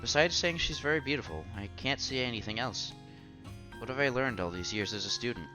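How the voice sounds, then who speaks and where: A man's voice: medium pitch, animated, a little fast, lightly acted. A man playing an earnest, bashful young college student who narrates his own romance in a visual novel. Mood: admiring. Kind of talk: visual novel read-aloud. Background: music under the voice.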